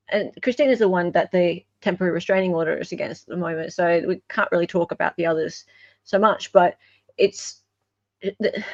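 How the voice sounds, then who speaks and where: Australian woman, mid pitch, slow pace, casual emphatic commentary, repeats phrases, lo-fi muffled audio. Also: no echo. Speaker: online show host. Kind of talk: casual commentary on court documents and recordings.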